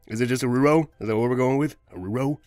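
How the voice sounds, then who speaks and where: A man's voice: mid-range voice, quick pace, a little mellow, no room sound, clean studio sound. A man, an amateur voice actor, joking and mocking himself as he retries lines, music behind him. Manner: joking, self-mocking, mumbling. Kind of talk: outtake chatter and line retakes.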